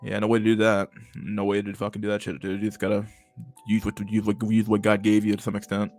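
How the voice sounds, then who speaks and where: A man in his twenties with a stammer and a lisp, chatting casually to his livestream chat, halting and restarting words and swearing freely. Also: lowish voice, monotone, darkish tone, soft background music. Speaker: livestream host reading chat.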